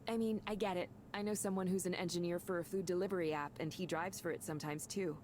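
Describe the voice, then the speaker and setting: A friendly, earnest woman manager played by a game actress, a loyal company type who is easily impressed. Her voice sits a little low, and she chats in a reassuring way over some background noise. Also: steady tone, quick pace, darkish tone, natural voice. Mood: curious. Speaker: manager of counseling centers. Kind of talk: video game voice acting.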